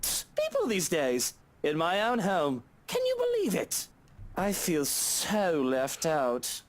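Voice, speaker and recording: An actor playing a mocking, arrogant, wealthy master of the house with a theatrical sarcastic drawl in a visual novel. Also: male voice, sits high, wildly swooping pitch, slow pace, full character voice.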